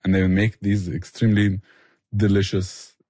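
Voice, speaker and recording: A man's voice: on the low side, little pitch movement, moderate pace, mellow, dry recording. A man explaining things with passion in a conversational interview. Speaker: barbecue restaurant owner and chef, interview guest.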